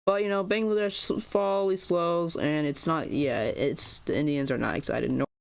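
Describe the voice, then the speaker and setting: Casting: young American guy, slightly high voice, loose rambling map-video voice-over. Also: steady tone, normal speed, creaky. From United States. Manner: rambling, many fillers and restarts. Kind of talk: casual map commentary.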